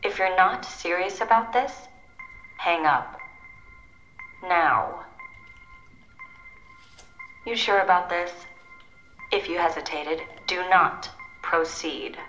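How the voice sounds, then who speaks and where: A woman acting a cool, secretive voicemail greeter for a shadowy agency, speaking in measured, ominous phrases in an audio drama. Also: lowish voice, sharp tone. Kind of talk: scripted audio drama phone message. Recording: roomy echo, music underneath.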